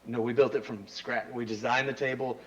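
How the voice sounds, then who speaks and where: A man chatting as a guest, enthusiastic and relaxed, with slangy speech. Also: medium pitch, a little fast, muffled recording, crowd noise. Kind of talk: casual chat.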